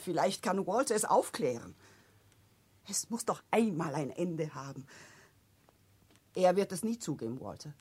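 An actress playing a frank, exasperated wife who insists the truth be spoken, with a husky voice, in a German dub of a stage drama. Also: female voice, fairly low pitch, expressive, measured pace, long pauses, darkish tone, exaggerated voice. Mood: insistent. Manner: insistent, forthright.

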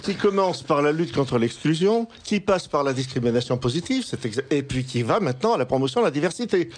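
A man putting a provocative question in a studio debate, halting and formal but persistent. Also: on the high side, expressive. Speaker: panel questioner. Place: radio studio.

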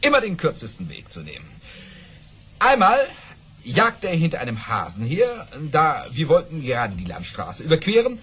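A grandiose old-school baron bragging, radio play, bright voice swooping all over. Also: male voice, fairly high pitch, wildly swooping pitch, moderate pace, breathy edge, full character voice. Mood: excited. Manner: grandiose, dramatic, amused by his own boasts. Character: boastful baron and cavalry officer telling tall tales. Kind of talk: theatrical radio play storytelling.

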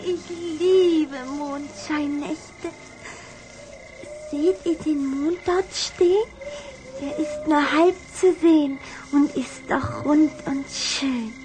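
Very high-voiced, emotional little vampire girl. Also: animated, slow pace, over music, rough recording. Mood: dreamy, wistful. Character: young vampire girl, affectionate, romantic and excitable. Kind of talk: German children's audio drama acting.

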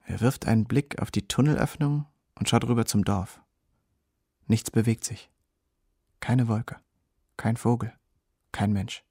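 Reflective soldier telling his war story, husky, dry close sound, radio play. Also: fairly low pitch, measured pace, pauses often, dark tone, dry recording. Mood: quiet, watchful. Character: reflective American soldier recounting the Vietnam War. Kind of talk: scripted first-person radio drama narration.

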